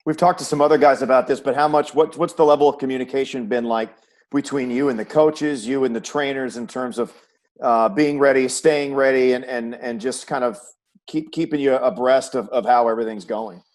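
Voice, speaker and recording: A man's voice: medium pitch, steady tone, fairly quick, a little mellow. An American man working as a sports broadcaster, hosting an interview show with introductions and questions.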